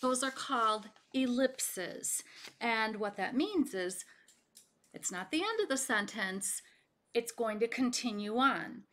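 A woman reads a children's story aloud in a bright, fairly high voice. She takes her time, explaining and pausing deliberately for the listener, with plenty of expression. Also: expressive. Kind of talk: children's picture book read-aloud, explanatory. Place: home kitchen, indoors.

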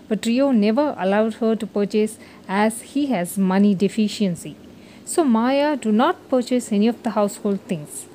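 A woman's voice: fairly high pitch, mellow, slight background noise, poor audio. A woman reading a scripted moral story for children as its narrator.

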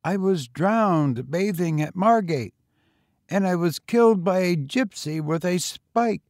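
Guy putting on a high husky teenage tramp boy, small and frail, knowing, chatty, weary, storybook style. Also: lively intonation, slow, a little mellow, no echo, studio quality. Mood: insistent, fatalistic. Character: frail undersized eighteen-year-old homeless boy, knowing, talkative, weary.

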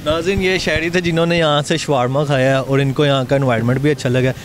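A man's voice: medium pitch, fairly even intonation, quick pace, crowd noise. A man with a Pakistani accent presenting and interviewing on location as a food show host, speaking into a handheld microphone. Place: outdoor restaurant at night. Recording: clear street and traffic noise.

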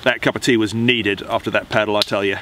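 A middle-aged man talks in a relaxed, chatty way while he cooks at a woodland camp. He is recorded close to the camera mic. Wind and rain noise sits clearly behind his voice. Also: fairly low pitch, fast talker. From England. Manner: relaxed, chatty, laughs easily. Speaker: outdoor vlogger. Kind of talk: casual chat. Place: outdoors in damp woodland by a river. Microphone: camera mic, close to face.

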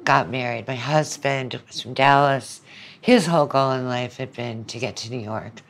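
A woman's voice: rumbling low, normal speed. A woman in her seventies from the southern United States, reminiscing in a conversational interview as a guest. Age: 70s.

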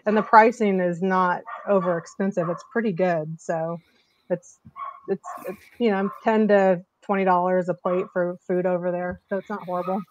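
A woman's voice: lowish voice, steady tone, normal speed, a little hiss or hum. A woman in her thirties, a charter agent, chatting casually and upbeat on a live video call over earbuds from a small home office. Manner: chatty, upbeat, many fillers. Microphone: wired earbuds on a video call. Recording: slightly muffled video call audio.

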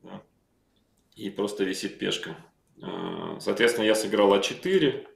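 A middle-aged man on a video call from home, calmly walking through a chess game move by move with many fillers. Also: fairly low pitch, moderate pace, gravelly. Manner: calm, reflective, calling out moves with many fillers. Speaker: chess player recalling his own game. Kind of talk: analytical interview talk. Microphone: video call microphone. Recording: roomy video-call audio.

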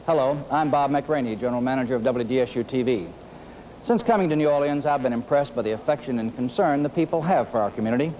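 A man in his fifties gives a scripted station message to viewers outdoors. He speaks quickly in a mellow, dark voice with faint noise behind him. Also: mid-range voice, poor audio. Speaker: TV station general manager. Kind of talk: scripted public address to viewers. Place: outdoors in a garden courtyard.

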